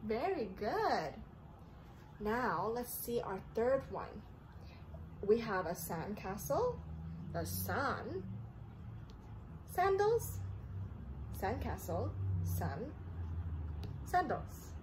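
High, lively woman teaching young children. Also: drawn-out words, long pauses, background noise. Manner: sing-song, addressing young children with simple questions. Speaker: children's story time host and teacher. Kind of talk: children's read-aloud and teaching. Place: living room indoors.

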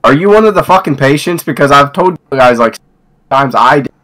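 A man's voice: mid-range voice, animated, brisk, a bit bright. A man in a heated phone argument, exasperated, profane and emphatic.

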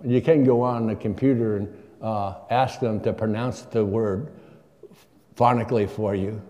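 A man's voice: lowish voice, fairly even intonation, measured pace, mellow. An older man preaching a sermon from a church pulpit, asking his congregation questions in a conversational way. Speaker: guest preacher. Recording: long church reverb.

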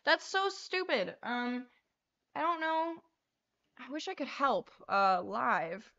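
Young woman streamer, a bit hoarse. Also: high-pitched, lively intonation, slow pace, bright, forceful tone, highs cut off. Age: young adult. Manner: casual, swears freely, chatty and rambling. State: getting hoarse. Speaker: livestream host. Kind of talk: casual chat while painting cards. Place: small bedroom. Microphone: lapel mic.

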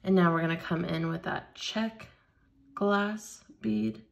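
A woman leading a hands-on beading tutorial at a craft table, explaining each step slowly and patiently. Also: lowish voice, steady tone, gravelly. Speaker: craft instructor.

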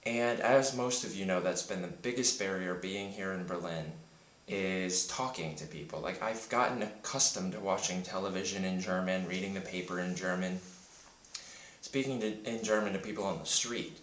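A man with a very low, deep morning voice that sounds slightly sick rambles casually into a camera he holds in his hand. His talk is chatty and self-deprecating, full of fillers, and the room around him rings with echo. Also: fairly bright tone, a little hiss or hum. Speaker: vlogger. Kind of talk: casual vlog monologue. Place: home in Berlin. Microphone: handheld camera mic.

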